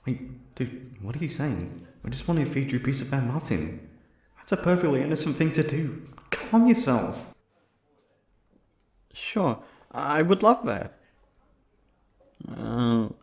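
A man's voice: mid-range voice, big pitch swings, slow, pauses often, echoey room. A man playing a shy, flustered young man on a first date in an ASMR roleplay, rambling and stammering nervously. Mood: flustered, smitten. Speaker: voice actor.

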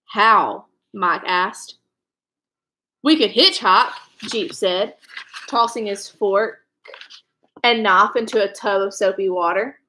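A woman's voice: mid-range voice, slow pace, pauses often, pushed and bright, a little hiss or hum, low-quality recording. A young woman reading a children's novel aloud in a storytelling style, indoors at home. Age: young adult.

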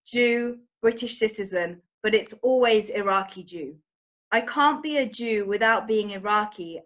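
A woman's voice: medium pitch, fairly bright tone. A woman hosting an online interview, guiding her guest in a friendly, hesitant way with many fillers.

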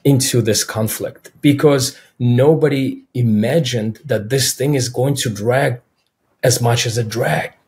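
A man in his forties with a Belarusian accent, his first language Russian, speaks as a guest on a webcam call. He explains things carefully and thoughtfully at a mid-range pitch. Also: unhurried, darkish tone. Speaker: film director guest. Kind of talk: interview answers. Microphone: video call, webcam.

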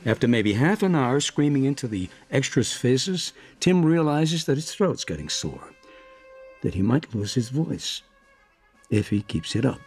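An actor in a radio drama playing an exacting, domineering American film director who talks deliberately and keeps checking he is followed. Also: medium pitch, very animated, darkish tone, husky, soft background music. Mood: measured, probing. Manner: deliberate, probing, punctuates with checking questions. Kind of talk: naturalistic radio drama acting.

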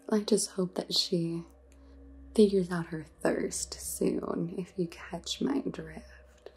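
A woman's voice: lowish voice, extremely slow, long pauses, exaggerated voice. Woman acting a whispery, snide young vampire. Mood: gossipy, snide. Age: young adult. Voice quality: very breathy, whispery. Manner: valley-girl drawl, dismissive. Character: snide, tech-obsessed young female vampire housemate. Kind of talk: comic ASMR roleplay.

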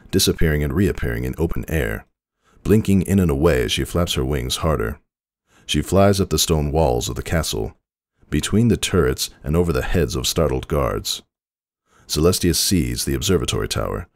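Extremely deep male dramatic narrator. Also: lively intonation, normal speed, vocal fry, no echo, studio quality.

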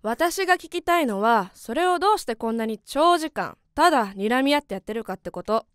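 A woman's voice: fairly high pitch, expressive, brisk, clear, resonant tone, no room sound, clean studio sound, natural voice. A woman playing a cool, impatient schoolgirl magic student in an anime-style voice drama, curt and aloof. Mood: exasperated.

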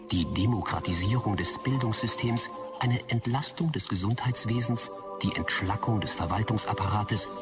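An actor playing a smug, officious AI implant assistant with a dry, slightly cheeky delivery in a sci-fi audio drama. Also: male voice, on the low side, far from the mic, lightly acted. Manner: matter-of-fact, slightly cheeky.

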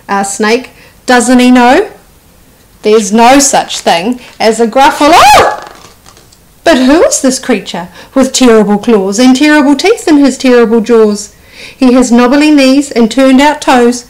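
A woman in her thirties reading a children's storybook aloud with expressive narration. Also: on the high side, poor audio. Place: small furnished room indoors.

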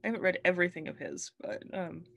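A woman's voice: fairly low pitch, big pitch swings, quick pace, gravelly, fairly bright tone. A woman in her thirties speaking as a guest author, chatting casually in a hesitant, thoughtful way over a video call. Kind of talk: casual chat. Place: room at home, video call. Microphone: wired earbuds headset.

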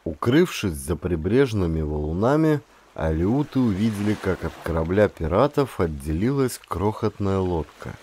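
Low-voiced man narrating dramatic adventure. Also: big pitch swings, moderate pace, few pauses, darkish tone, slight background noise. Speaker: audiobook narrator, actor.

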